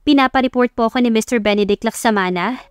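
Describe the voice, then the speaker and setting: A woman narrator plays a dutiful young Filipina college student in an audio love story. She is polite and affectionate, with a high voice and a dry sound over music. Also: fast, smooth, dry recording, close to an everyday voice. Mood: polite, eager. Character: dutiful, playful young college woman talking with her parents.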